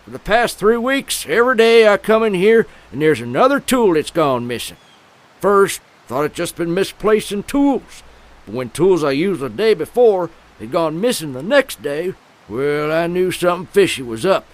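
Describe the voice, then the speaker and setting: A cranky old man who runs a garage, in an old-time radio comedy. His voice sits high and swings around freely, with a curt, folksy bite. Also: sing-song, normal speed. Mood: grumbling, aggrieved. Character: grumpy old male filling station owner.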